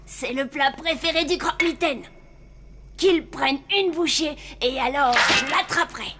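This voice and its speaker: A woman's voice: sits high, measured pace, noisy background. A woman voicing a stubborn, eager young zombie boy who argues insistently. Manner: insistent, argumentative. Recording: cartoon dub with faint music.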